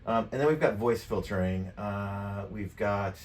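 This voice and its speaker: Low-voiced American man, noisy room. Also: a little slow. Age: middle-aged. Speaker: tutorial presenter. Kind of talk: casual demonstration. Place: furnished game room.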